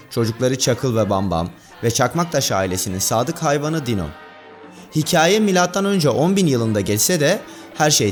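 A man hosting and narrating a scripted countdown video. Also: medium pitch. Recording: music bed under voice. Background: background music.

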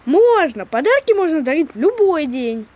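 A woman's voice: high-pitched, big pitch swings, moderate pace, darkish tone. A woman voicing a know-it-all child helper who explains and corrects in a children's educational cartoon. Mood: correcting.

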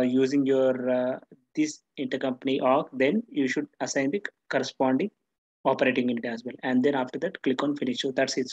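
Monotone man explaining software, dull audio. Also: medium pitch. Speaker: software tutorial instructor. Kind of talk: screen-share tutorial, unscripted step-by-step explanation.

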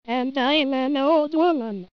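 A synthetic female text-to-speech voice playing an old woman in a robotic software demo. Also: sits high, slow pace, dry recording. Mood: flat robotic. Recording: dull lo-fi computer audio.

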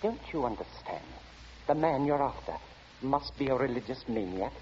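Man playing an animated, insistent elderly gentleman witness. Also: mid-range voice, moderate pace, lightly acted. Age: older. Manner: precise, emphatic, theatrical. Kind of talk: 1940s radio acting. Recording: dull, noisy 1940 radio recording.

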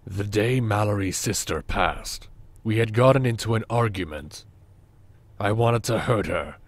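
Gruff, low-voiced male boss played by an actor. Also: a little slow, no room sound. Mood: heavy, regretful. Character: gruff, regretful male boss confessing and advising. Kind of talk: scripted audio drama.

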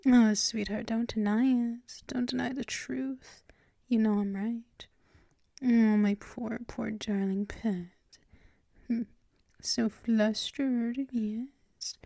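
Woman doing asmr girlfriend roleplay, really slow n mellow, teasing and doting, lots of whispering up close. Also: fairly high pitch, fairly even intonation, vocal fry, dry recording. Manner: soothing, teasing, affectionate, murmured and drawn out. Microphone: close microphone.

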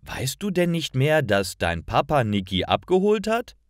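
A man's voice: medium pitch, sing-song, a little slow, no echo, clean studio sound, voice pushed up. A man voicing a worried, nervous mother in a storybook, anxious, emphatic and carefully articulated. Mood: questioning.

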